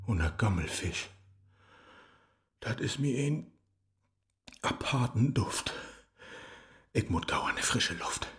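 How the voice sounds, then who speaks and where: An actor playing a hapless, lovestruck Faust in a comic rhymed radio play, with a Low German accent and theatrical asides. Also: male voice, slightly rough, no echo, clean studio sound, heavily acted. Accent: Low German, northern Germany. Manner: theatrical, exclaiming, muttering asides. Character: lovestruck, hapless modern Faust chasing a young woman.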